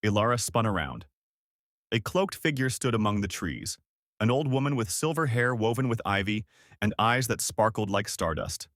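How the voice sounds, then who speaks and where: A man's voice: lowish voice, lively intonation, moderate pace, a bit bright, no echo, clean studio sound. A man narrating a scripted fairy tale for children as a storyteller.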